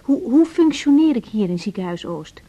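An actress in a 1980s Dutch radio drama playing a reserved, earnest young trainee doctor who speaks hesitantly. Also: female voice, fairly high pitch, very animated, moderate pace, dark tone. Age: young adult. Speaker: actor. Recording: slightly dull radio recording.

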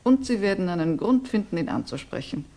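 Mellow, creaky, persuasive young woman. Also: medium pitch, big pitch swings, brisk. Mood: urging, secretive. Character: earnest, persuasive young Russian woman, quietly insistent. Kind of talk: radio drama acting.

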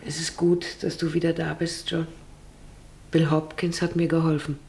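A woman's voice: deep voice, level tone, moderate pace, creaky, dark tone, a little hiss or hum. An actress playing an anxious, careworn middle-aged farmer's wife and mother in a German radio play, earnest and pleading, with hesitant repetitions. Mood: weary, careful.

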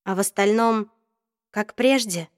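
Бойкая девочка-подросток, чуть выше голосом, её озвучивает чтица, сухая запись без эха. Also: female voice, mid-range voice, a little slow, dry recording, studio quality, lightly acted. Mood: light. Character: teenage girl, lively and worried. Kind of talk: audiobook reading.

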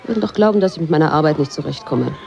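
Mellow but dismissive schoolteacher. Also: female voice, low voice, lively intonation, racing, creaky, slight background noise. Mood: defensive. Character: dismissive, defensive female schoolteacher. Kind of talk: naturalistic radio play dialogue.

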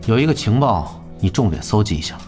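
An actor playing a proud, scheming young male pro gamer in an audio drama, speaking in a calculating, deliberate way. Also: lowish voice, big pitch swings, a little mellow, faint music behind. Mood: cool, calculating.

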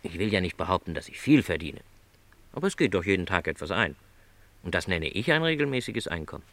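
A man's voice: deep voice, very animated, fast, a bit bright, dry recording, exaggerated voice. An actor playing a lonely, contented travelling salesman who is no longer young, speaking modestly and politely in a radio play. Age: middle-aged.

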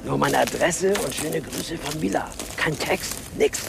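An actor playing a baffled, excitable man in a naturalistic radio drama, speaking colloquially and incredulously. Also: a little high, a little slow, gravelly, very noisy. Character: baffled, excitable man questioning his friend.

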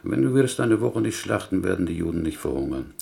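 Pious small-town butcher from Poland, low voice, creaky, talkative, gentle, wily, inverted syntax, radio play. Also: male voice, big pitch swings, fairly quick, exaggerated voice. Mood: musing, anecdotal. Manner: inverted Yiddish-style syntax, musing, rambling storyteller. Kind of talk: German radio play acting.

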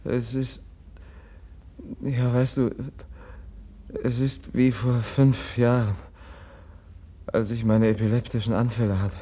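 An actor playing a gentle, naive young prince in a 1950s radio drama, speaking in a hesitant, mild way. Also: male voice, mid-range voice, flat delivery, takes it slowly, pauses often, husky. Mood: weary.

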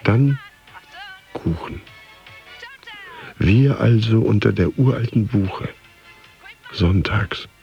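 An actor playing a disgruntled, neurotic office worker who broods and mutters in a radio drama monologue. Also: male voice, lowish voice, slow, vocal fry, pauses often, mellow. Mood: bitter, stubborn. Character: disgruntled male office worker, neurotic, grumbling about body and cake. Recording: dull studio sound, faint music behind.

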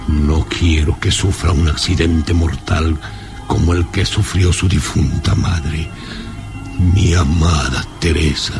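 An actor playing a gaunt, imperious old baron in a radio drama, speaking gravely and theatrically. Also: male voice, a bass voice, fairly even intonation, full character voice. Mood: brooding, worried. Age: older. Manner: slow, grave, theatrical, commanding. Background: dramatic music.

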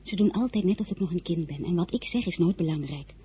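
Breathy, headstrong teenage girl. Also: lowish voice, animated, fast talker, warm tone. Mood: confiding, piqued. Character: headstrong, indignant teenage girl, narrating her diary. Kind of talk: radio drama narration and dialogue.

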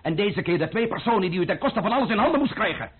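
A man's voice: high voice, animated, breathy edge. An actor playing a sarcastic, menacing French revolutionary official in a radio drama, sneering and drawing out his mock-polite words. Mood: mocking menace. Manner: sneering, mock-polite, drawn-out, bursting into shouts. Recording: muffled old radio play recording.

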